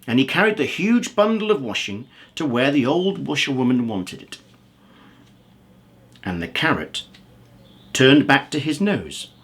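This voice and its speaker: Very animated male children's storyteller. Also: medium pitch.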